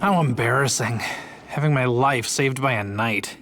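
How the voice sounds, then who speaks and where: A man's voice: mid-range voice, moderate pace, fairly bright tone, a little hiss or hum, lightly acted. A man voicing a cocky, cowardly treasure thief in a video game, brash and whiny when scared. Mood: sulky. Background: game music.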